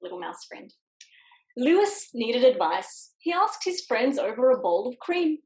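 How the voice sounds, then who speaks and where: Female storytime reader, lively swooping delivery, expressive pauses, slightly roomy video-call sound. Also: a little high. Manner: expressive, dramatic pauses and emphasis on words. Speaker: picture book author reading aloud. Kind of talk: animated children's storytime reading with quiet asides. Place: indoors, online video call in an echoey room.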